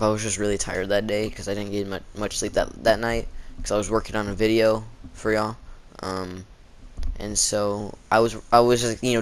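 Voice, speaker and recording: A teenage boy telling stories in a casual, rambling, halting way, with slangy wording and a sore throat he often clears. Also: on the low side. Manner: halting, informal, slangy, dramatizing quotes. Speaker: video storyteller.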